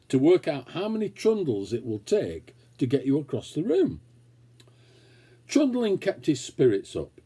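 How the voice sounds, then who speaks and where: A man reading a children's story aloud, expressively and with dramatic pauses. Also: a little high, dark tone, slightly rough. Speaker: story reader. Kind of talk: scripted children's story narration.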